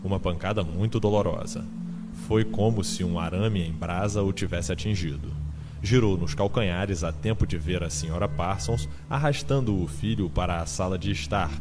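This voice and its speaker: Low-voiced male audiobook narrator. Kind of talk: dramatized literary narration. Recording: noisy ambient sound-effects bed. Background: ambient sound effects.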